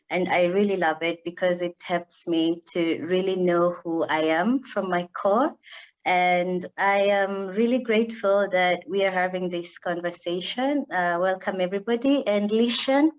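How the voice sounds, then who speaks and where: A woman from Kenya, a psychologist working as a social worker, speaking gently and sincerely. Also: fairly low pitch, muffled recording.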